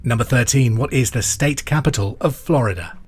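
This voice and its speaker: A middle-aged man hosts a trivia podcast as quizmaster. He reads each question and answer clearly and deliberately, at an ordinary male pitch. Music plays underneath his voice. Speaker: pub quiz podcast host. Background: music bed.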